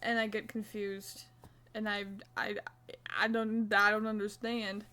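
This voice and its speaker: A woman's voice: medium pitch, little pitch movement, a little slow, bright, forceful tone. A young woman streaming live, chatting casually and self-mockingly while she crochets, swearing now and then.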